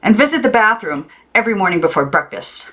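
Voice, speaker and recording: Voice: mid-range voice, big pitch swings, quick pace, sharp tone, pitched above the performer's own voice. A woman voicing a strict, prim elderly aunt in a storybook, enunciating precisely. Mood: strict, lecturing. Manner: precise, over-enunciated, stern.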